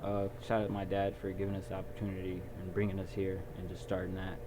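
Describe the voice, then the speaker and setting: Relaxed young man telling a story. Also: low voice, fast. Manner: relaxed storyteller, many ums and restarts. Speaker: guest, video production company owner. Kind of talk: casual interview. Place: small recording studio. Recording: noisy background.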